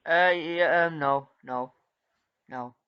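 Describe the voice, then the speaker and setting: A man with a slightly high, smooth voice answers in short, hesitant bursts, filling gaps with "um" and "uh". The recording has a slightly dull, muffled top end. He plays along as a customer. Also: slow. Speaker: caller playing a customer. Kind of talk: casual phone conversation.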